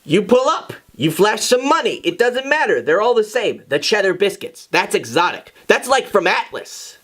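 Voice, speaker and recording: A man voicing an excitable, opinionated teenage boy in a story, declaiming his points emphatically. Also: high voice, moderate pace, voice pushed up, heavily acted. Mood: heated argument.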